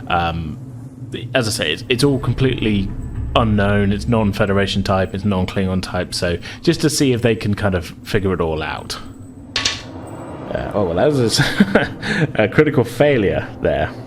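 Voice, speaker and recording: A man's voice: fairly low pitch, lively intonation, a little slow. A man running a tabletop game as game master, narrating in a rambling, hesitant, conversational way and explaining as he goes. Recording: clear background noise.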